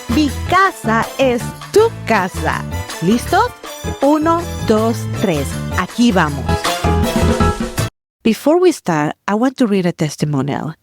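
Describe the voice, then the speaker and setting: Guatemalan-accented female language coach, lowish voice, dry close mic, upbeat teaching podcast. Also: no room sound. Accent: Guatemalan Spanish. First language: Spanish. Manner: upbeat and encouraging, drills words aloud. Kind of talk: solo podcast lesson.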